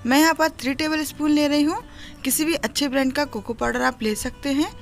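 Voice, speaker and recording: A woman's voice: high voice, fairly even intonation, fairly quick. A woman narrating a step-by-step recipe tutorial in an instructive, explanatory manner. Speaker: home cooking host. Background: music.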